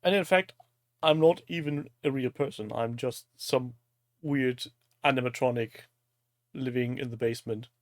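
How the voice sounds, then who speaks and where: A man's voice: medium pitch, moderate pace, no echo, studio quality. A man from Germany with a German accent, a native German speaker, hosting a casual live-stream chat in a jokey, teasing manner. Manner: jokey, crude humour, teasing.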